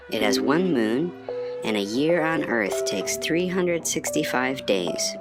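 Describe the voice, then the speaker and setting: A quirky little cartoon astronaut , as a woman voices it, tours the planets like a student on vacation. The voice sits remarkably deep and takes its time, breaking into sudden high, squeaky exclamations. Music plays clearly underneath. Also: heavily acted. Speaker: guide narrator. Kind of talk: animated educational cartoon.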